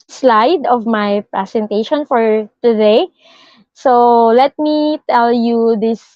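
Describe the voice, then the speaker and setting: A woman's voice: on the high side, a little mellow, dry recording, lo-fi sound. A woman from the Philippines, a Filipino native speaker, giving a webinar talk earnestly with fillers and restarts. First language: Filipino language. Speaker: guest speaker. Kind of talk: webinar presentation. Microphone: video call.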